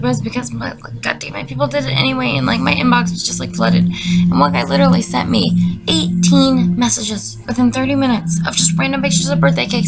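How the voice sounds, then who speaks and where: A woman's voice: on the high side, vocal fry, rough recording. A woman in her twenties giving casual comedic commentary, rambling, sweary and sardonic with an exasperated manner. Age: 20s. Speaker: video creator.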